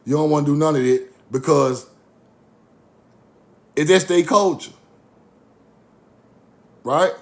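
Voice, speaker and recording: A man with a high, gravelly voice, talking bluntly and repeating his points. He swears freely and lectures with a preacher's push. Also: slow pace, pauses often. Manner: blunt, repetitive, profane, preachy. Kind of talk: fiery informal lecture.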